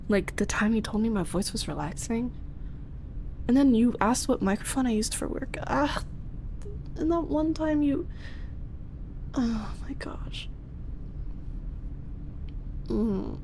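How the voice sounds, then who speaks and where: Woman voicing a young roommate, slow unhurried talk, slightly high voice, soft, whispery, flustered. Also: noisy background. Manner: soft-spoken, drifting into whispers. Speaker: voice actress in audio roleplay. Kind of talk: ASMR roleplay.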